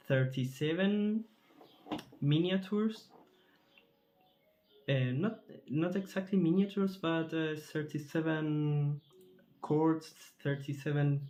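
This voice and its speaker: A man from Chile, a composer answering interview questions thoughtfully, often pausing and searching for words. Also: fairly high pitch, a little mellow. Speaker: composer in residence.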